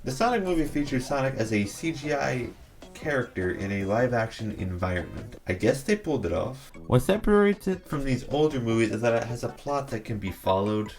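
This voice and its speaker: A man's voice: mid-range voice, very animated, fairly quick, darkish tone, faint music behind. A man narrating a scripted video essay, giving opinionated commentary with a sarcastic edge.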